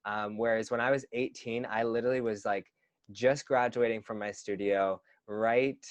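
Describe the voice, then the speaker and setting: A man's voice: lowish voice, steady tone, moderate pace, no echo. A young man in his twenties, a dancer and teacher, talking earnestly in a casual interview with lots of fillers and restarts. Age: 20s.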